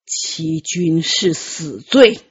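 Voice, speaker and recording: A woman's voice: low voice, expressive, very slow, slightly rough, lo-fi sound, pitched below the performer's own voice, heavily acted. A woman voicing a jealous, cold and commanding young emperor in an audiobook, biting out his words through gritted teeth. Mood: cold menace.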